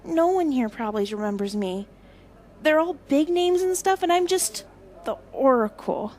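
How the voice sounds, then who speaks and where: A woman's voice: sits high, lively intonation, normal speed, darkish tone. A woman acting a shy, self-doubting, sweet young seer in an audio drama, timid and hesitant. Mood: nervous.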